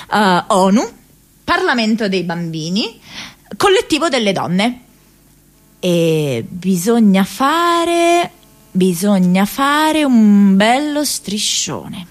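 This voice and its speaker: An actress playing an earnest, haunted young American woman activist in an intimate radio drama monologue, speaking haltingly with hesitant pauses and repeated words. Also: mid-range voice. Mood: busy, musing. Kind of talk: intimate radio drama monologue, diary read as spoken memories.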